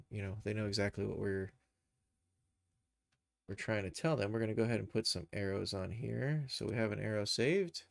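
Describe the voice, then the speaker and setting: Low, creaky-voiced man talking through a tutorial. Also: dry recording. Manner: informal thinking aloud while working. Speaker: streamer, game developer and animator. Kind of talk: casual live screen-share tutorial.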